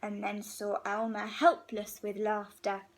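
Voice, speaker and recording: Slow, husky-voiced woman reading a storybook. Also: medium pitch. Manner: unhurried, animated, pauses between phrases for young listeners. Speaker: teacher reading a picture book to young children. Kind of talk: expressive children's storybook read-aloud.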